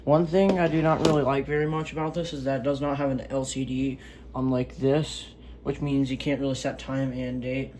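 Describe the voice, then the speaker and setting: A man's voice: mid-range voice, flat delivery, normal speed, darkish tone. Man casually reviewing gadgets, noisy recording. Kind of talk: casual unscripted product review.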